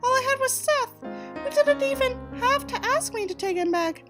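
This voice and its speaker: A woman's voice: very high-pitched, lively intonation, normal speed, over loud music, voice pushed up. A woman voicing a wounded, pleading teenage girl in an audiobook, earnest, plaintive and melodramatic. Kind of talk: audiobook character reading.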